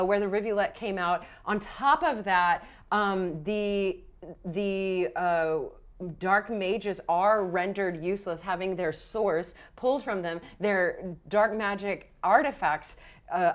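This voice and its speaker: A woman running a tabletop game as game master, improvising narration in a halting, enthusiastic way and chatting casually with players. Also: mid-range voice. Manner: halting, pieces scenes together mid-sentence, enthusiastic.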